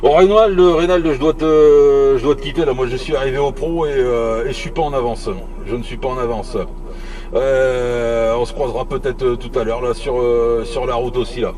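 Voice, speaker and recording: A man's voice: medium pitch, fairly even intonation, normal speed, a little mellow. A man chatting casually on CB radio while driving, hesitant and often repeating himself. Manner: hesitant, repetitive phrasing. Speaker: CB radio operator, channel host. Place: inside a vehicle on the road. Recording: noisy vehicle cabin, CB radio ambience.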